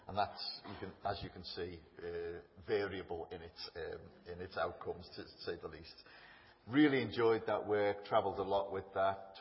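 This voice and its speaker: A middle-aged man with a Liverpool accent speaks from a lectern in a church. His voice sits low and carries a long, ringing echo off the hall. His talk is chatty, self-deprecating and full of humour. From England. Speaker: guest speaker. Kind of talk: informal talk to a church congregation. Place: church building. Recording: echoey hall, slightly dull sound.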